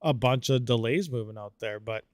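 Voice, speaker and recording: A man's voice: mid-range voice, normal speed, a little mellow, no room sound, clean studio sound. A man hosting a live stream, chatting casually and rambling with lots of fillers while answering viewer comments. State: slightly dry throat. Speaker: livestream host.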